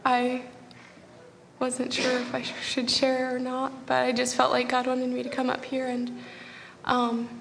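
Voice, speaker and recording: A young woman from Pennsylvania and Tanzania giving a hesitant testimony at a Bible school, with many filler pauses. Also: high voice, vocal fry, fairly bright tone, slight background noise. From Pennsylvania, USA and Tanzania. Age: young adult. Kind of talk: spoken testimony. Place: church hall. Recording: echoey hall with long reverb.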